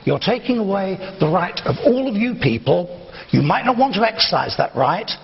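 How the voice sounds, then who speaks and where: Slightly high-voiced guy in a tv debate, firm and insistent, muffled echoey sound. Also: big pitch swings, normal speed, vocal fry. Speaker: party spokesman debating. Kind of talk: televised studio debate. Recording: dull TV audio in a reverberant studio.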